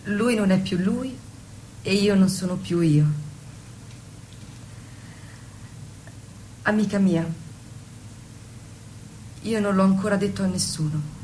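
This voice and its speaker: Wistful, faithful young wife played by an actress, radio play, earnest and plaintive, mid-pitched, slight background noise. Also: female voice, darkish tone, lightly acted, low-quality recording. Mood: sad, resigned. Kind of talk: stage-play dialogue with snatches of song.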